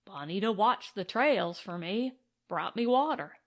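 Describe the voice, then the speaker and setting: Woman reading as an excited little cowboy prospector, unhurried. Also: medium pitch. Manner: folksy Old West cowboy talk, boastful. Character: excited, grateful, big-hearted little cowboy gold prospector, male. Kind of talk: audiobook western character.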